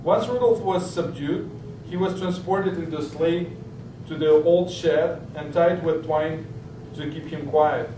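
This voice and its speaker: A man's voice: measured pace, natural voice. A man in an amateur stage play, playing an obedient henchman who confesses in formal, recited testimony on the witness stand. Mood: confessing. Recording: echoey distant room recording, noisy, rumbling.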